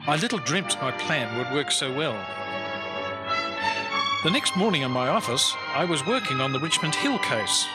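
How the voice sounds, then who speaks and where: An actor playing a shrewd, wry Victorian-era customs inspector in a radio detective drama, formal and measured as he talks and narrates his cases. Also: medium pitch, natural voice.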